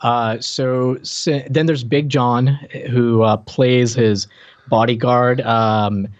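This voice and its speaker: A man's voice: medium pitch, fairly even intonation, slow pace, no room sound. A man co-hosting a podcast, talking casually about television in a wry, joking way, on a dull, lo-fi microphone. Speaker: podcast co-host. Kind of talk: casual chat about a TV show. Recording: dull, dry lo-fi microphone sound.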